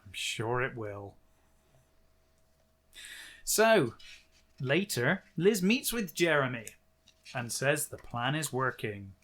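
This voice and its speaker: A man's voice: mid-range voice, sing-song, slow, pauses often, a bit bright. A man co-hosting a podcast, casually recapping a book with dry, sarcastic commentary. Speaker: podcast co-host.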